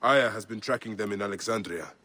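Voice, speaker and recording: An actor playing a grim, resolute warrior bent on justice. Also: male voice, on the low side, bright, forceful tone. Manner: earnest, measured, resolute. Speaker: hero of the game.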